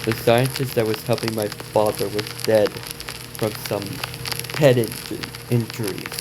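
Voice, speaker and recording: A man's voice: on the low side, steady tone, a little slow, background noise. A man reading a story aloud as a reaction video host, stumbling over and repeating words, with asides to viewers.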